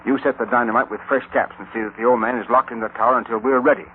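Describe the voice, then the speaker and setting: An actor playing a bossy, scheming outlaw gang boss in an old-time radio western. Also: male voice, medium pitch, fairly even intonation, racing, close to an everyday voice. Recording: scratchy, tinny vintage radio broadcast.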